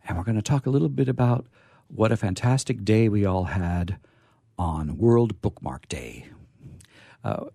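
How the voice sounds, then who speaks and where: Lively, folksy male radio host. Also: lowish voice, no echo, clean studio sound. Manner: rambling, jokey, folksy. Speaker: host of live radio theatre show. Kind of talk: casual live show introduction. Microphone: studio microphone.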